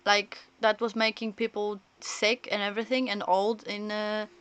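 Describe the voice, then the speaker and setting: A woman streamer with a medium-pitched voice talks casually over a game. She is chatty and reacts aloud in loose, conversational phrases. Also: level tone, moderate pace, fairly bright tone, clean tone.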